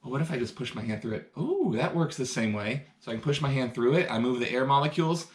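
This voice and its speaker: A man leads a live science demonstration, upbeat and explaining each step for young viewers. His voice sits at an ordinary middle pitch. The sound carries a roomy echo from the indoor space. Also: very animated, fast talker. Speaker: science educator. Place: indoor room.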